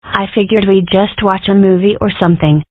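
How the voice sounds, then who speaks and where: A woman's voice: medium pitch, steady tone, a little fast, no room sound, natural voice. A woman voicing a wry young female dragon babysitter in a cartoon comic dub, casual and matter-of-fact. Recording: dry, narrow-band phone-like sound.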